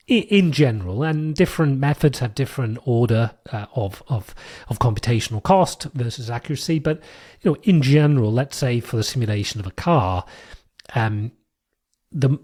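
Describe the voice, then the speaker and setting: Hoarse British man hosting a podcast. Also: mid-range voice, a little mellow. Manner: thoughtful, with frequent fillers and restarts. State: hoarse from a cold. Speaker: podcast host. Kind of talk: solo explanatory podcast monologue.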